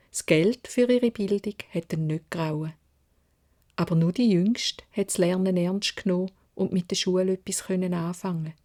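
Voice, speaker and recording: A woman's voice: lowish voice, a little mellow. Swiss German woman telling a fairy tale. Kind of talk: expressive children's story narration in dialect.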